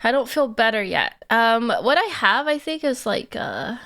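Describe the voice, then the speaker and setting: A young woman streamer chatting casually and playfully, giggling and teasing herself, her voice hoarse and stuffy from a cold. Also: a little high, sing-song, measured pace, sharp tone. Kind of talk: casual live-stream chat.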